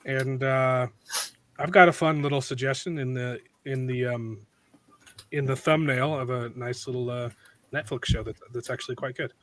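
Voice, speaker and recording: A man hosting a casual podcast, hoarse from a cold, rambling with restarts and joking asides. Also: medium pitch, fairly even intonation, moderate pace, a bit bright, slight background noise. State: hoarse, losing his voice from a cold. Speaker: podcast host.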